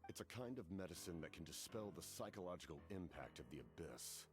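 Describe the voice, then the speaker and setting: A man voicing a stern, composed veteran captain in a video game, speaking in measured, formal sentences. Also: low-pitched, sing-song. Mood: grave, measured. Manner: measured, formal, clinical explanations. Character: grave, commanding veteran warrior captain, male, stern and composed. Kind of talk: video game character dialogue. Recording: game music behind the voice.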